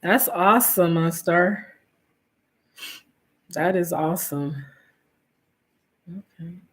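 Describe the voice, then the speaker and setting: A woman's voice: fairly low pitch, takes it slowly. A woman, nasal and sniffly from allergies, casually chatting with viewers on her stream. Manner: chatty, reads viewer names, trailing fillers. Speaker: livestream host.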